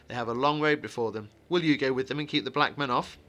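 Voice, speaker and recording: A man's voice: mid-range voice, fast talker, pushed and bright, lightly acted. A man voicing a lean, guarded, sardonic ranger in a fantasy audiobook, speaking in a grave, terse, biting way. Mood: scornful. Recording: music behind the voice.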